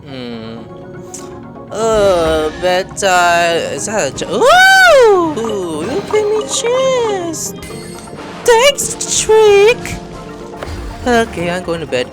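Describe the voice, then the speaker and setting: An excitable gameplay host commenting and reacting out loud. The pitch swings widely between muttering and exclamations, with game music clearly playing behind. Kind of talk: let's-play commentary, reacting and reading dialogue aloud.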